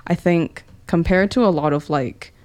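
A woman's voice: fairly low pitch, moderate pace, a little mellow. A young woman with a New Zealand accent chatting casually as an interview guest, in a playful, slangy way. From Wellington, New Zealand. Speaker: indie musician, interview guest. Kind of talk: casual chat.

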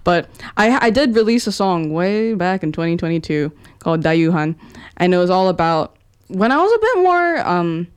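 A woman's voice: lowish voice, big pitch swings, moderate pace, clean tone. A young woman with a New Zealand accent chatting casually as an interview guest, in a playful, slangy way. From Wellington, New Zealand. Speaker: indie musician, interview guest. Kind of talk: casual chat.

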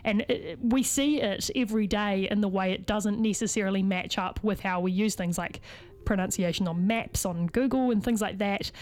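A woman's voice: medium pitch, moderate pace, a little mellow. A woman, a radio host who interviews a guest in a chatty, affirming way, with frequent fillers.

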